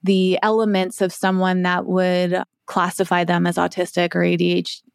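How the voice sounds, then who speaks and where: A woman's voice: lowish voice, completely flat delivery, moderate pace, creaky, clean tone, no room sound, studio quality. A woman hosting a podcast, speaking in an engaged way and thinking aloud as she talks. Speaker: podcast host. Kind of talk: conversational interview.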